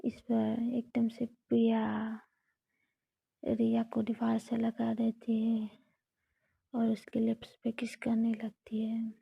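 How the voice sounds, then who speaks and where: A woman narrating a romantic story in a steady, close whisper. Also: a little high, slow, vocal fry, long pauses, husky, dry recording. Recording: dull lo-fi recording.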